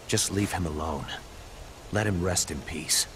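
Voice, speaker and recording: An actor playing a brash, cocky young assassin antihero in a video game, blunt and curt. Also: male voice, deep voice, measured pace. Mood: solemn. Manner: blunt, curt, swearing swagger. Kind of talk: English video game cutscene acting. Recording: game audio with clear background noise.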